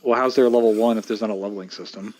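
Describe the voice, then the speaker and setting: A man's voice: on the low side, fairly even intonation, racing, darkish tone, faint music behind. A man bantering over a game stream, teasing his friends and arguing his points. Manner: teasing, argumentative. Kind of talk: casual gaming banter.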